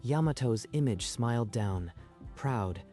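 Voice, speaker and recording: A man's voice: medium pitch, lively intonation, measured pace, dark tone, faint music behind. A man narrating a dramatic story from a script in a storytelling style.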